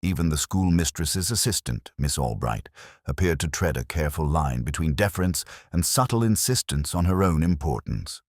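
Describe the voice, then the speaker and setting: A male audiobook narrator with an extremely low voice tells a mystery in a measured, formal way. His pitch moves in lively arcs, and the sound is dry and close, without room echo. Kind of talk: scripted mystery story narration.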